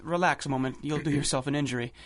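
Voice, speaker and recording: An actor playing a confident, condescending young millionaire inventor, suave and grandiose, in a 1930s-style radio drama. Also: male voice, a little high, fairly even intonation, fast talker, crowd noise, natural voice.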